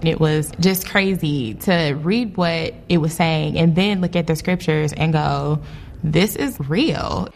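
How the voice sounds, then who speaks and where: Female testimony, deep gravelly voice, lively. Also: slow pace. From Cleveland, Ohio. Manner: animated, vivid storytelling. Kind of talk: personal testimony.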